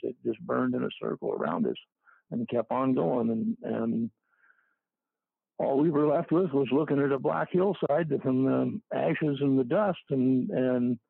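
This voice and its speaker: An older man talks in a gravelly, husky voice, stopping and restarting as he recalls things. The sound is dry and tinny, like a phone line. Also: mid-range voice, dry recording. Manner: halting, with many fillers and restarts. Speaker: interviewee. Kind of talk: casual recollection.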